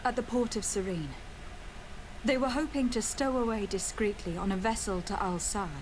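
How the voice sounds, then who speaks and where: Casting: actress for polite noblewoman envoy, fantasy game, mid pitch, diplomatic and composed; noisy ambient bed. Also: normal speed, close to an everyday voice. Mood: courteous, earnest. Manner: formal, diplomatic. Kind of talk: scripted video game dialogue.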